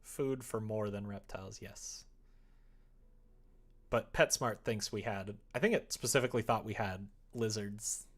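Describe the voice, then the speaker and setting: A man's voice: lowish voice, unhurried. A man live-streaming, chatting casually and easily with viewers in a rambling way, reading out their comments as he goes.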